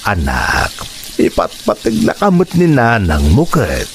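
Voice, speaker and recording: A man's voice: mid-range voice, huge pitch swings, unhurried, gravelly, a little hiss or hum, heavily acted. Man acting patient, protective Filipino father. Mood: tender, consoling. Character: gentle, patient father protective of his son. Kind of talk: radio drama acting.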